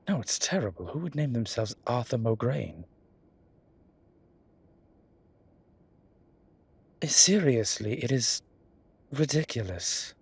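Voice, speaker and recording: Male actor as a sardonic vampire deacon for roleplay audio: lowish, husky, theatrical, protective and dryly witty. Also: heavily acted. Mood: incredulous. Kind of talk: ASMR roleplay audio drama addressed to the listener.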